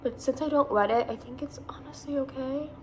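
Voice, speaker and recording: A woman's voice: sits high, fairly quick, slight background noise, poor audio. A woman in her twenties with a Californian accent, chatting casually through a makeup tutorial, rambling and saying "like" often. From Massachusetts, USA. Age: 20s. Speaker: beauty vlogger.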